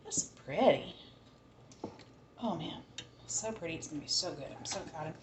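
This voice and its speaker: A woman's voice: deep voice, animated, normal speed, roomy sound, heavy background noise. A woman with a Southern American accent, chatting casually and thinking aloud as she cooks in her home kitchen. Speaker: home cook. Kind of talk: casual cooking vlog chat.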